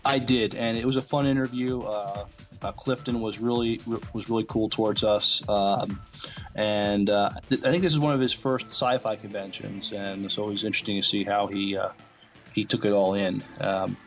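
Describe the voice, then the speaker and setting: A man co-hosting a podcast, chatting casually in a hesitant, halting way. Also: on the low side, steady tone, faint music behind. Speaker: podcast co-host. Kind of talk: casual chat.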